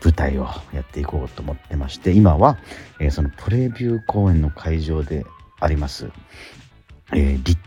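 A middle-aged man chatting casually as a radio host, animated but sometimes halting and reflective. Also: extremely low pitch, faint music behind. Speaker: radio host and theatre actor. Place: theatre auditorium during rehearsal.

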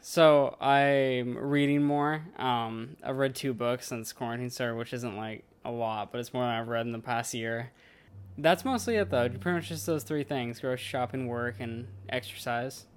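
A man's voice: medium pitch, moderate pace, clean tone. A 21-year-old man with an American accent, answering interview questions casually. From Washington state, United States. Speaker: interviewee, heavy equipment mechanic.

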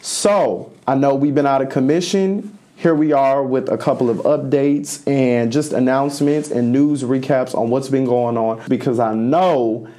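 A man's voice: mid-range voice, fairly even intonation, unhurried, hardly any pauses, mellow. A young college man co-hosting a student podcast, talking casually with many fillers and restarts, his voice a bit strained after losing it. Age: young adult. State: slightly strained voice. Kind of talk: casual chat.

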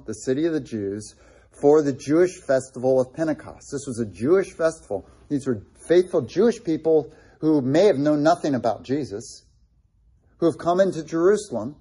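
A man's voice: mid-range voice, mellow, breathy edge. A middle-aged man preaching a sermon in a conversational way, with frequent restarts and asides to the congregation. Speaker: pastor.

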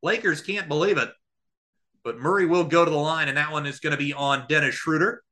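Bright, forceful male basketball play-by-play caller. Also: fairly high pitch, brisk. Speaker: podcast host doing play-by-play. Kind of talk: live basketball radio play-by-play commentary.

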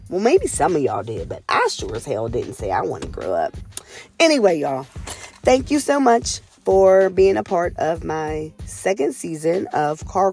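A woman hosting her own podcast in a candid, casual monologue, her voice raspy and hoarse from a cold. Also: low-pitched, a little mellow. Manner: candid, earnest, swears casually. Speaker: podcast host.

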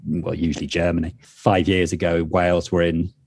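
A man's voice: low-pitched, flat delivery, moderate pace, a little mellow, dry recording, clean studio sound. A middle-aged man, co-hosting a podcast in casual chat, talking in loose, restarting sentences. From England. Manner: chatty, with frequent restarts and fillers. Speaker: podcast co-host.

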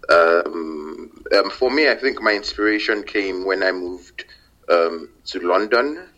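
A man's voice: low-pitched, lively intonation, slow pace, pushed and bright. A man, a company founder, answers interview questions in a casual, hesitant way, full of fillers and repeated words. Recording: dull lo-fi audio.

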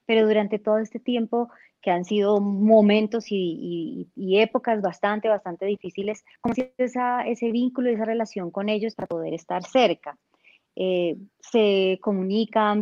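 A woman's voice: mid-range voice, steady tone, moderate pace, no room sound. A woman hosting an interview, asking long, wandering, encouraging questions. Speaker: interview host. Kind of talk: casual interview conversation. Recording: slightly dull sound.

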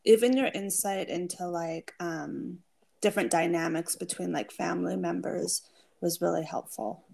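A woman podcast host with a fairly low voice and a lot of vocal fry. She puts her interview questions casually, in an informal, encouraging manner. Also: steady tone, normal speed, mellow.

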